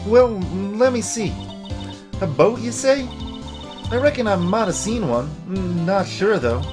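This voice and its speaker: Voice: mid-range voice, lively intonation, measured pace, over music, close to an everyday voice. A performer voicing a brash, friendly young country woman from a video game, with a folksy drawl and a Southern American accent. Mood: folksy, breezy. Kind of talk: video game character voice-over.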